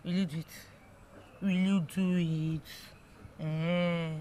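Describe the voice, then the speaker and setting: An actress playing a flirtatious mistress who teases her lover in a coy, drawn-out way. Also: lowish voice, fairly even intonation, dark tone, a little hiss or hum.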